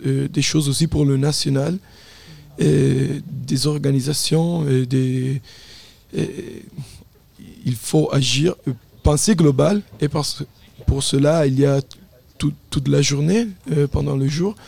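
A young man with an Italian accent speaks slowly and haltingly, stopping to search for his words. His voice is dark and gravelly. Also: a little high. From Italy. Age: 20s. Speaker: guest, climate activist. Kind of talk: radio interview.

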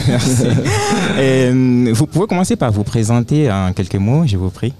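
A man's voice: medium pitch, expressive, normal speed, warm tone. A man hosting a live radio interview, friendly and a little hesitant, with fillers. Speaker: radio host.

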